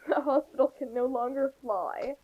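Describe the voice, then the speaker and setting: A woman with a high voice on a slightly dull, lo-fi channel. She comments casually and jokingly, sounding wry and a bit exasperated as she feels her way through sentences. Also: measured pace.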